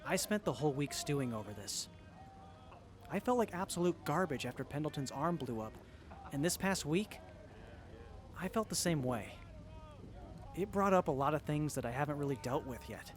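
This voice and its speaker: A man playing an earnest, excitable young tinkerer in an audio drama, with a geeky, sincere way of talking. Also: on the high side, moderate pace, long pauses, soft background music, lightly acted. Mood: hurt, earnest.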